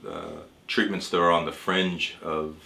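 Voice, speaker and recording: A man playing a reassuring cancer doctor in a role-play, explaining things in a measured, hesitant way. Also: low voice, little pitch movement, creaky, bright, forceful tone, exaggerated voice. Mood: explanatory, measured. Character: reassuring male cancer doctor leading a care team. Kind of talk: amateur role-play dramatization. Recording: echoey room.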